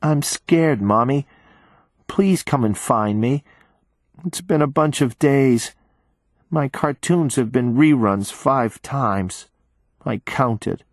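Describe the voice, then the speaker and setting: Man voicing a small scared child, plaintive, pleading, raised pitch, dry close sound. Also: animated, slow pace, long pauses, dry recording. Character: frightened small child held captive. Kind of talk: audiobook narration.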